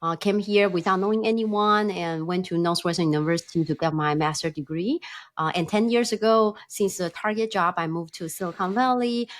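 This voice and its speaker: A woman's voice: fairly low pitch, a little fast. A middle-aged woman from Taiwan with a Taiwanese accent, telling personal stories conversationally as an interview guest and acting out bits of dialogue. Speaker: podcast guest, company founder.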